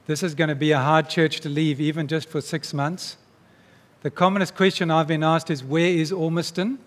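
A man's voice: on the high side, little pitch movement, normal speed. A man preaching a sermon to his church in an earnest, emphatic way. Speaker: pastor. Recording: long reverb of a church hall.

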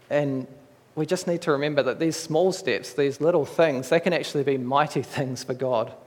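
A man with a New Zealand accent delivers a sermon in a church hall, speaking in an earnest, measured way. His delivery stays conversational, with small restarts. The long reverb of the hall trails after his words. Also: a little high. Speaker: preacher.

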